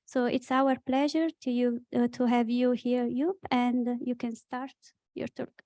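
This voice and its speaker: A woman chairing a conference session, introducing the next speaker haltingly with many uhs. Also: fairly high pitch, little pitch movement, warm tone, dry recording. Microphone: video call.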